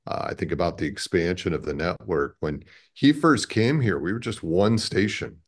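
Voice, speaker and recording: Man, fifties, deep voice, American accent, from the Midwest, interview guest, conversational. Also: normal speed. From Chicago, American Midwest. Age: 50s. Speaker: radio network president, interview guest. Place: indoor room, on a video call.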